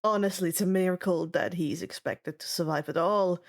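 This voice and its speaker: A woman's voice: lowish voice, moderate pace, pitched below the performer's own voice, natural voice. Lady doing a grumpy jaded guy in a story, voice pushed down, blunt and tired. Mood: blunt, grim. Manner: blunt, weary. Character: gruff, jaded man running a hero camp.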